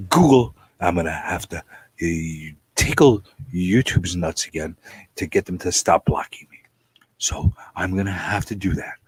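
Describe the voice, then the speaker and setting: A man hosting a comic book channel, ranting comedically with theatrical repetition, stammered phrases and a husky voice that often drops into breathy whisper. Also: deep voice, dry recording. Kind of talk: comedic rambling rant to viewers.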